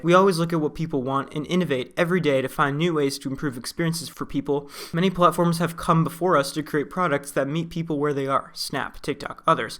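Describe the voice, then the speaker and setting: Hoarse man with a cold, slightly high voice, casual commentary with fillers. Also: fairly bright tone. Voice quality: raspy. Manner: reads quotes aloud then chats about them, many fillers. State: sick, hoarse. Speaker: tech news commentator. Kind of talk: casual commentary reading an article.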